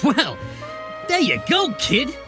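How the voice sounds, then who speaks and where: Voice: high-pitched, huge pitch swings, takes it slowly, full character voice. An actor playing a world-weary neighbourhood bartender with folksy wiseguy phrasing in a noir audio drama. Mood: curious, teasing. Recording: music bed under the voice. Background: music.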